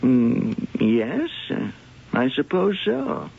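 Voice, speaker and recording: An actor playing a dry, wry museum zoologist in a vintage radio drama, heard on a muffled old broadcast. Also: male voice, medium pitch, huge pitch swings, drawn-out words, vocal fry, husky, heavily acted. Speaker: zoologist professor. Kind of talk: 1970s radio drama acting.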